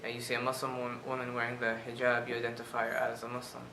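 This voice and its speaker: A nineteen-year-old man answering an interview question in a halting way. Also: completely flat delivery. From South America. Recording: echoey room, faint rumbling noise.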